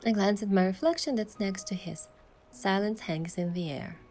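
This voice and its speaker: An actress playing a playful, affectionate young heroine who softly narrates her own love story in a romance game. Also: medium pitch, moderate pace, a little hiss or hum, lightly acted. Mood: soft narrating.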